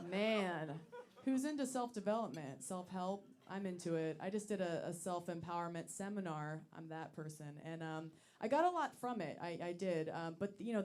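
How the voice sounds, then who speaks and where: Woman, late 20s, low voice, dry self-mocking stand-up delivery, lots of ums. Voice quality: deep voice for a woman. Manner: deadpan, self-deprecating, with many ums. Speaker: stand-up comedian. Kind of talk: stand-up set. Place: comedy club stage.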